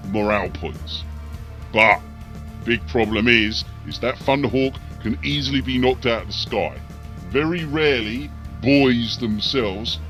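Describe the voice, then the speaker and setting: Male host for war game commentary: punchy, sharp-edged voice, slightly low, emphatic announcer delivery. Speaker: host and narrator. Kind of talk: tabletop-style battle report commentary.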